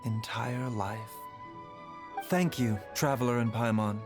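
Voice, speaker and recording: A man playing a gentle, courteous, slightly secretive doctor in a video game, speaking formally and politely. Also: medium pitch, over music. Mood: touched. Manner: measured, polite, formal, softly teasing. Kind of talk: video game character acting.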